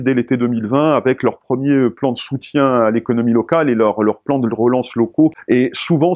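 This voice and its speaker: A man's voice: medium pitch, darkish tone. A man with a French accent giving an explanatory talk, often hesitating and stumbling as he searches for words. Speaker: expert spokesperson for local government association. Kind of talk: explanatory talk in an informational video.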